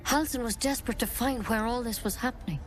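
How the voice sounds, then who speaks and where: A woman's voice: fairly high pitch, fast talker, lightly acted. An actress playing an earnest, protective druid healer in a video game, plain-spoken and grave, with music behind her. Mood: probing. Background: background music.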